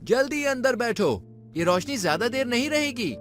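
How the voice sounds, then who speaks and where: Wary underground alien acted by a male narrator, raised pitch, earnest and warning, cartoon storytelling over music. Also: sing-song, normal speed, fairly bright tone, full character voice. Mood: urgent.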